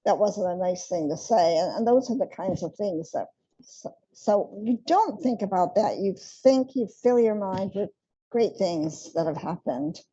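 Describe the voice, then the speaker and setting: A woman speaks reflectively on a panel, with many fillers. Her voice is husky and dark. The event recording sounds dull and slightly lo-fi. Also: mid-range voice, lively intonation, measured pace.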